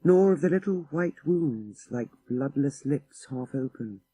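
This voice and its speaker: A man's voice: medium pitch, animated, unhurried, warm tone, slightly rough, rough recording. A man narrating a literary ghost story for an audiobook in a dramatic style.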